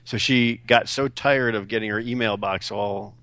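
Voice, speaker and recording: A man's voice: lowish voice, fairly even intonation, moderate pace, pushed and bright, no echo. A man in a casual podcast chat, laid-back and matter-of-fact. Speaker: podcast co-host.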